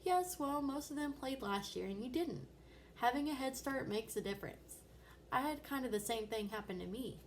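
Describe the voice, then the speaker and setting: A woman's voice: sits high, lively intonation, moderate pace, a little hiss or hum. A woman voicing a kindly, reassuring father telling patient anecdotes in a children's storybook. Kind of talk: children's storybook read-aloud.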